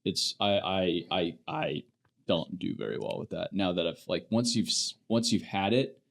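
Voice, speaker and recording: A man with a deep, low voice hosts a casual podcast conversation. His talk is loose and chatty, full of quick, self-deprecating asides. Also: gravelly. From Phoenix, Arizona. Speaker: podcast host. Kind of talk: casual chat.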